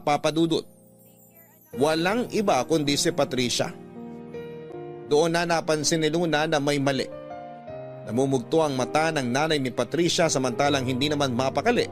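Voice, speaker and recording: A Filipino man with a fairly high voice narrates a radio drama. He reads the scripted story dramatically, with music clearly playing behind him. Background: background music.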